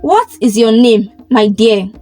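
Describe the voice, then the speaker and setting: A woman voicing a kindly, elegant and commanding queen in a folktale, gentle and assured. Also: a little high, expressive, unhurried, faint music behind.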